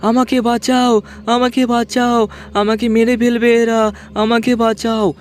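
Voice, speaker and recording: A man's voice: very high-pitched, flat delivery, unhurried, pitched above the performer's own voice. A man voicing the frightened ghost of a young girl, pleading desperately and repetitively in a storytelling cartoon.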